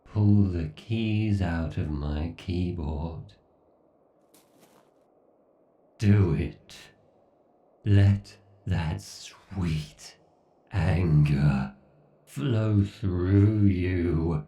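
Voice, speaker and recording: A man performs an obsessed, hateful machine god for a horror audio roleplay. The voice is dark and crackles with fry, drawing out each condescending phrase with theatrical menace. It rings with echo, as if set back from the listener. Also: low voice, extremely slow, recorded from a distance, exaggerated voice. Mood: taunting. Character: obsessive, sadistic male AI god villain, gloating and hateful. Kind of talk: horror ASMR roleplay monologue.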